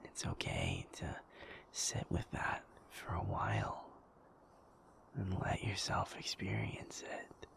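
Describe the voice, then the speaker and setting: A man playing a gentle, patient werewolf in an intimate audio roleplay, soothing and reassuring in a halting, breathy, creaky whisper. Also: extremely low pitch, wildly swooping pitch, heavily acted. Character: gentle, patient male werewolf comforting a sleepless partner.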